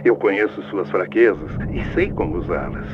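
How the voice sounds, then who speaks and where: A man's voice: deep voice, lively intonation, moderate pace. A Brazilian man playing a scheming, curious occult researcher dictating notes on a dull, hissy cassette in a dubbed game. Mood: scheming, intrigued. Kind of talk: dubbed game monologue on tape.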